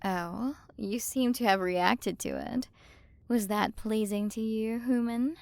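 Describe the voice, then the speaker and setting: Woman acting a soft, creaky, haughty alien queen. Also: on the high side, fairly even intonation, slow pace, slight background noise. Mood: curious, clinical. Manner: soft, condescending, teasing, at times whispered. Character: haughty, curious alien galaxy queen, possessive of her human. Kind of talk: ASMR roleplay.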